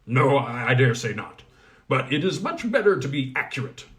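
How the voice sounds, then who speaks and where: A man voicing a gruff, powerful male goblin smith who talks bluntly and gives commands. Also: mid-range voice, big pitch swings, moderate pace, a bit bright. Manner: brusque, commanding.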